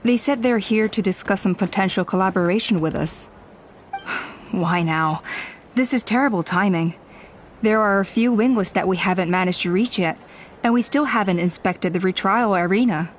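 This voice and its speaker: A woman playing a composed, hospitable elderly tribe elder in a video game, measured and courteous. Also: medium pitch, a little hiss or hum, natural voice. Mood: concerned.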